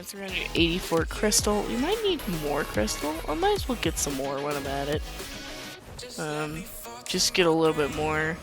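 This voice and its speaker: A young woman hosting a gaming video, chatting casually in a calm, soothing voice as she rambles. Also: lowish voice. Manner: calm, soothing, rambling talk with light laughs. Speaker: gaming channel host. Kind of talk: casual gameplay commentary. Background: background music.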